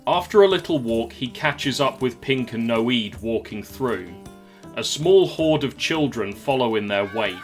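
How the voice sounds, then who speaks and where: A man's voice: mid-range voice, animated, a little slow, faint music behind. A man narrating a scripted audio drama in the present tense, as a storyteller.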